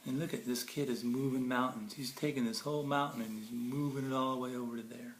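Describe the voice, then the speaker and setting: A man's voice: mid-range voice, fairly even intonation, quick pace. A man reading a children's picture book aloud in a theatrical, playful way, with casual asides about the pictures. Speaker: storybook reader. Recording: roomy echo, faint background noise.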